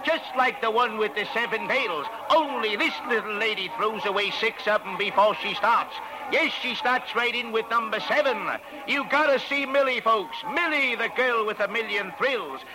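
A man playing a brash carnival barker in a 1940s radio drama, delivering loud showman's patter. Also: high-pitched, moderate pace, few pauses, background noise, lightly acted. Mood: brash hawking. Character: brash male carnival barker pitching a dancer. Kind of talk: 1940s radio drama acting, sideshow pitch. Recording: vintage radio broadcast with loud music.

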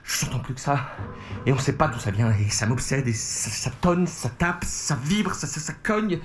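A man's voice: medium pitch, big pitch swings, normal speed, close to an everyday voice. An actor playing a frantic man obsessed with a noise, speaking insistently and repeating himself in an audio drama. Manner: agitated, insistent repetition. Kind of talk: French audio fiction acting.

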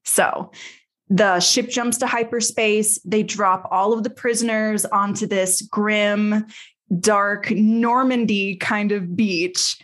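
A woman hosts a podcast recap in an ordinary mid-pitched voice. She tells the story casually and playfully, dropping in slang as she walks through each beat. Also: fairly even intonation, takes it slowly, a bit bright. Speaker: podcast host. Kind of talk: lively episode recap in casual chat.

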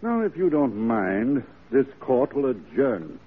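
A man's voice: mid-range voice, sing-song, slow pace, breathy edge, full character voice. An actor playing a stuffy, exasperated male judge in a classic radio drama, formal and blustering. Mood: stern, dismissive. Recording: muffled old radio drama recording.

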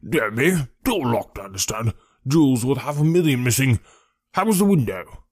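A man voicing a stout, self-satisfied middle-aged policeman in an audiobook story, with a muffled, husky, wheezy voice and a hearty, pompous manner. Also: medium pitch, big pitch swings, moderate pace, no echo, studio quality, voice pushed up. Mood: brisk, self-satisfied. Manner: hearty, pompous, repeating his phrases.

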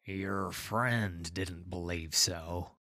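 Weary old countryman acted by a man, guarded and humble, drawn-out halting delivery, dry recording, for audiobook fantasy. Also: deep voice, steady tone, bright, forceful tone, no room sound, heavily acted. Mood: solemn. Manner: slow, subdued, halting.

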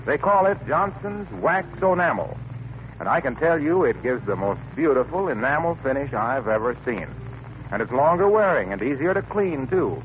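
A man with an American accent reading scripted announcements and sponsor copy as a 1940s radio announcer. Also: on the high side. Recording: tinny vintage radio recording with faint noise.

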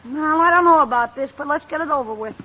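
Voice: high voice, fast talker, lightly acted. A performer playing a reluctant, wisecracking young nephew, a boy, in an old radio comedy. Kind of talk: 1940s-50s radio comedy acting. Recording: dull old radio broadcast recording.

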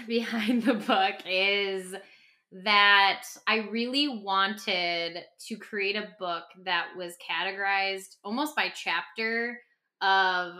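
A woman's voice: mid-range voice, pushed and bright. A woman author and podcaster explaining things conversationally, often voicing imagined dialogue as she goes. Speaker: podcast host and author being interviewed.

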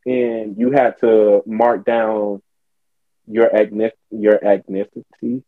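A man's voice: fairly low pitch, level tone, slow pace, no room sound. Questioning young man, tinny audio. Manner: probing, questioning. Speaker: podcast co-host. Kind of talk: casual chat. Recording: tinny phone-like podcast audio, dry.

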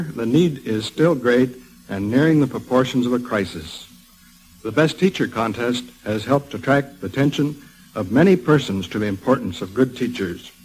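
A man with an American accent giving a formal, scripted radio address as a guest speaker, measured and earnest. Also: mid-range voice, poor audio. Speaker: education expert guest speaker.

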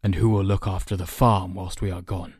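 A man acts out a storybook farmer father, protective and reluctant. The voice is fairly low and heavy with weariness, firm underneath, recorded dry. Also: flat delivery, brisk, darkish tone, breathy edge, no room sound. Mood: reluctant, wary.

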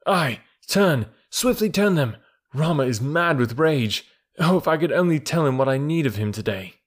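A man's voice: mid-range voice, lively intonation, moderate pace, voice pushed up, close to an everyday voice. A man voicing a bold young jungle boy who gives orders eagerly. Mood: excited. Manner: eager, commanding calls.

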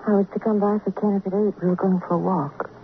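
A wistful, grieving young woman, played by an actress in a 1950s radio drama. Her phrases are short and halting. The sound is thin and tinny with faint hiss. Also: medium pitch, steady tone, natural voice. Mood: dazed, wistful. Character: grieving, lovelorn young woman, dazed witness. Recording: tinny, noisy old radio recording.

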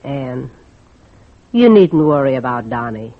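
Husky-voiced stoic widow. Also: female voice, a bass voice, sing-song, slow, exaggerated voice. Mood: resigned. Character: stoic older small-town widow, plain-spoken. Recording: muffled old radio recording.

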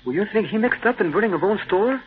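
An actor playing a polite, deferential valet sidekick in clipped broken English for an old-time radio drama. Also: male voice, sits high, lively intonation, fast. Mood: eager, curious. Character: deferential, eager valet and crime-fighting sidekick. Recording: tinny old radio recording, slight hiss.

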